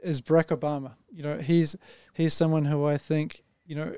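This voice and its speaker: A man who heads a company department, a native English speaker, talking as a podcast guest in a friendly, relaxed way with "you know" fillers. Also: a little high, normal speed, smooth, dry recording, studio quality. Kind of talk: casual podcast conversation.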